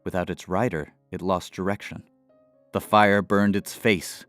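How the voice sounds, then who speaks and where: A male storyteller narrates a fantasy adventure in a deep, smooth voice. His delivery is measured and dramatic, recorded dry and close, with music playing underneath. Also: level tone, a bit bright, no echo. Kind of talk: scripted fantasy audio narration.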